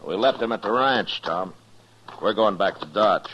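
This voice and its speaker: An actor playing a stern, steady frontier marshal in 1950s radio style, with a terse, authoritative manner. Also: male voice, on the low side, normal speed. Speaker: actor in a western radio drama. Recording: dull, noisy 1950s radio recording.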